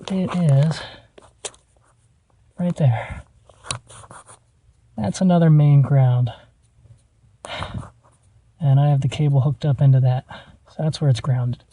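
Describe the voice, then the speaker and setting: A man giving a casual hands-on how-to in an informal, halting way, thinking aloud as he goes. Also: a little high, warm tone, a little hiss or hum. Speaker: vlogger showing a car radio install.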